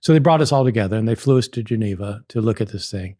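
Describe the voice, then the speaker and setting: A man in his sixties from the United States, a scholar telling a lively anecdote in an interview and laughing often. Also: fairly low pitch, quick pace, no room sound, clean studio sound. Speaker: guest scholar. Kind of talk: storytelling in a podcast interview.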